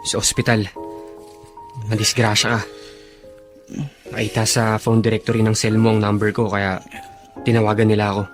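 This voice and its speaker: A male actor plays a calm, reassuring friend in a radio drama. His voice is low and very even, measured, over faint music. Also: close to an everyday voice. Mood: calm reassurance. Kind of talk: Filipino radio drama acting.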